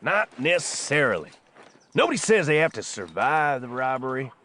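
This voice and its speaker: An actor playing a cunning, ruthless American outlaw gang leader with a folksy manner in a western audio drama. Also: male voice, mid-range voice, very animated, normal speed, pushed and bright. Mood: scheming.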